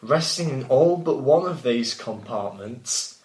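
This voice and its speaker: A man's voice: mid-range voice, lively intonation, slow pace, a little mellow, a little hiss or hum. Man reading aloud in an echoey room. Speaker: author and reader. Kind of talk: reading aloud a gothic story, breaking off to banter. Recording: roomy, echoey home recording.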